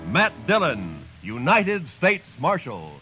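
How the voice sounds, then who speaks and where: A man announcing a radio western in a dramatic, measured way. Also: a little high, huge pitch swings. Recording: muffled 1950s radio broadcast over music.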